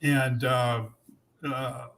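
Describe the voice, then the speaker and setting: A man in his seventies tells his story in an unhurried, reflective way. He often pauses on "uh" and "um". His pitch sits at an ordinary middle level. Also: level tone, creaky, fairly bright tone. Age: 70s. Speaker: guest. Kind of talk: casual chat.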